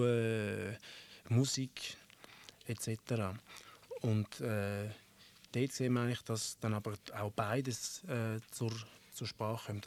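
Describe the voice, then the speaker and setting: A middle-aged man with a Swiss German accent, explaining a topic in measured commentary with frequent fillers. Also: fairly low pitch, darkish tone. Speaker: presenter.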